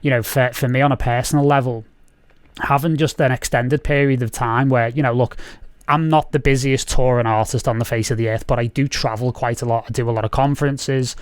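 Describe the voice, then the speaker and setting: A man's voice: medium pitch, steady tone, fairly quick, no echo. A man in his thirties with a Scouse accent, hosting a casual video-call interview from a room at home and rambling with many fillers. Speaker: podcast host. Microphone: studio mic on boom arm, video call.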